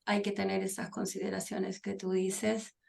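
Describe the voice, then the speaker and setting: Woman with a gravelly, creaky voice. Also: medium pitch, flat delivery, a little mellow. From Argentina. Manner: thoughtful, pausing with many fillers and restarts. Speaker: guest psychologist and author. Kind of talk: conversational interview.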